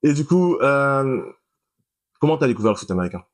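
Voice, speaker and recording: A man hosting a casual interview while playing a video game, quick to laugh and react to the action. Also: medium pitch. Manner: friendly, laughs often, lively reactions to the game. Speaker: host, interviewer.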